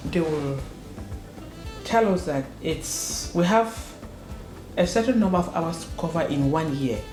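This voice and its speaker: Earnest low-voiced woman in a roomy space. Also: lively intonation, unhurried, a little mellow. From northwest Cameroon. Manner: earnest, emphatic, repeats words for stress. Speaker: school proprietor and educator. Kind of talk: interview answers. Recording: roomy echo with faint music.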